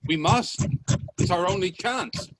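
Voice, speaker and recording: A man playing a bold, inquisitive gentleman explorer in a radio play, speaking in deliberate, theatrical statements. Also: high-pitched, crowd noise, rough recording. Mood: determined. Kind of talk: radio play dialogue acting. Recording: faint noise on video-call audio.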